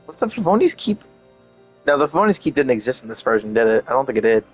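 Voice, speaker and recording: A man's voice: medium pitch, very animated, fast, breathy edge. A man doing casual gameplay commentary, rambling and thinking aloud as he streams. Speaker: game streamer. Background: game music.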